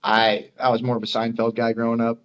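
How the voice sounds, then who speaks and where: A man's voice: mid-range voice, monotone, a little fast, fairly bright tone, muffled recording. An American man talking as a podcast guest in casual chat, easygoing, plain-spoken and loosely phrased. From Dayton, Ohio, USA. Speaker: podcast guest, air traffic controller.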